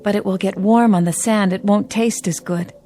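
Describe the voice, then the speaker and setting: An actress playing a gentle, naive female humanoid robot, speaking politely and earnestly in a science fiction audio drama. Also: medium pitch, fairly even intonation, normal speed, soft background music, lightly acted. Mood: caring. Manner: polite, earnest, inquisitive, simply phrased.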